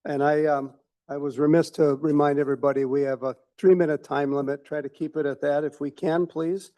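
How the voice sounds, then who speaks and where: A man with a somewhat high, mellow voice chairs a city council meeting. He calls up speakers and reminds them of the time limit in a quiet, procedural way. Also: steady tone, a little fast. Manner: procedural and soft-spoken.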